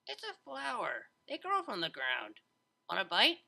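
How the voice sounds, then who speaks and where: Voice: medium pitch, wildly swooping pitch, a little slow, gravelly, sharp tone, pitched above the performer's own voice, heavily acted. A narrator voicing a brash, playful young female unicorn DJ with slangy, laid-back speech in a story. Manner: slangy, laid-back, stumbling over words.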